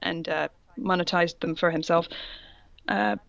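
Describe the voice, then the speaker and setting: A woman's voice: fairly low pitch, fairly even intonation, a little slow, gravelly, no room sound. Dry, slightly muffled woman co-host. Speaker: co-host reading the female parts. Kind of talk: casual commentary reading of fan fiction aloud.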